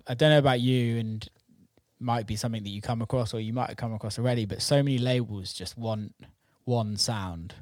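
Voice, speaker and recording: A man's voice: fairly low pitch, dry recording, clean studio sound. A man hosting a podcast, talking in a casual, rambling, informal way about his line of work. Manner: informal, rambling, occasional swearing. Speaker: podcast host and music producer who runs a record label. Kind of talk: casual podcast chat about the music scene.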